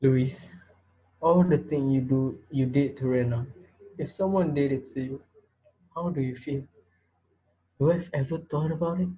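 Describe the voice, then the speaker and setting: A man's voice: mid-range voice, a little slow, pauses often, slightly rough. Man acting a menacing teacher, dull lo-fi sound. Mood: stern, accusing. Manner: taunting, interrogating, preachy. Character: menacing male teacher, self-appointed reaper judging his students. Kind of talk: amateur reader's theater acting. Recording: dull lo-fi recording.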